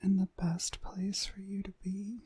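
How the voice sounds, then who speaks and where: A woman playing a dominant, seductive witch mistress in a whispered ASMR hypnosis roleplay, breathy, soothing and commanding. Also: medium pitch, level tone, a little slow, mellow, no echo.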